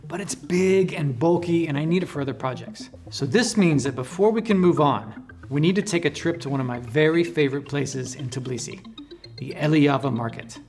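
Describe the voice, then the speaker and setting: Higher-voiced man presenting a DIY build. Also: normal speed, a little mellow, soft background music. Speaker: host of an electronics build channel. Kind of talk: scripted presenting to camera, explaining a DIY build. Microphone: lapel microphone.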